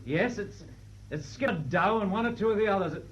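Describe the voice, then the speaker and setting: An actor playing an agitated captive on lookout aboard a ship in a radio drama, urgent and colloquial. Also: male voice, high-pitched, brisk, lightly acted. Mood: tense watching. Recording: dull lo-fi radio recording.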